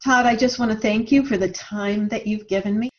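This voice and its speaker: A woman's voice: mid-range voice, fairly even intonation, fairly quick. Earnest woman author, slightly muffled audio. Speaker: guest author. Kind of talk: conversational interview.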